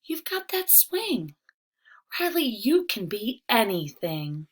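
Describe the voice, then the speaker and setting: A woman's voice: on the high side, sing-song, slow pace, slight background noise. A woman voicing an encouraging, upbeat male older cousin who reassures a child in rhyming storybook lines. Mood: enthusiastic.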